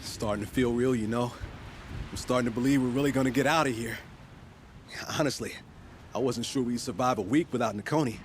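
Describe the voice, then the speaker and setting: Actor as a hot-headed young hunter, medium-pitched. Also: male voice, a little fast, pauses often, a little hiss or hum, lightly acted. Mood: hopeful. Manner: emphatic, outspoken. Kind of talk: video game cutscene acting. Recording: music behind the voice.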